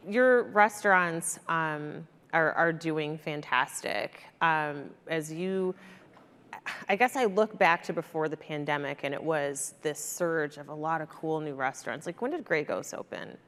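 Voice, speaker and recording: A woman's voice: deep voice, takes it slowly, fairly bright tone, smooth. A middle-aged American woman interviewing conversationally as a panel moderator, wearing a mic on a large conference stage. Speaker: panel moderator, TV news anchor. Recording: echoey auditorium stage, long reverb.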